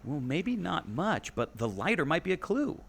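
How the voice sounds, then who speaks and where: A man acting an eager, clue-spotting adventurer in a scripted radio play, expressive and theatrical. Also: a little high, moderate pace, vocal fry, slightly rough, full character voice. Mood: curious.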